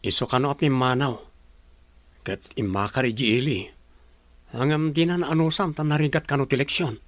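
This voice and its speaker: A man's voice: medium pitch, moderate pace, no echo, close to an everyday voice. A man playing a plain-spoken village man in a radio drama. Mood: explaining.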